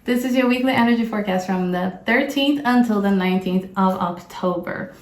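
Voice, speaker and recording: A woman's voice: medium pitch, a little fast. A woman from Brazil giving a casual, upbeat tarot reading, talking with lots of fillers. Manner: chatty, upbeat, many "like" fillers. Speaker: tarot reader. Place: sunny room with plants. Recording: echoey room, faint noise.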